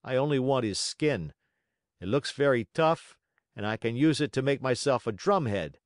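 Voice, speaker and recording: A man's voice: mid-range voice, expressive, normal speed, dry recording, studio quality, close to an everyday voice. A man voicing a blunt, stingy village musician haggling at market in a storybook, brusque and dismissive.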